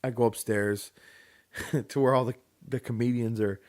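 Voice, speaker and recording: A man's voice: medium pitch, steady tone, normal speed, darkish tone. A young man co-hosting a podcast, chatting casually with a loose, joking manner and casual swearing. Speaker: podcast co-host. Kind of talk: casual chat.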